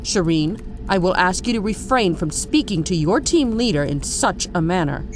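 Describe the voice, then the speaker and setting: An actress playing an authoritative, composed army general in an audio drama. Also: female voice, lowish voice, lively intonation, normal speed, slight background noise, natural voice. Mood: commanding.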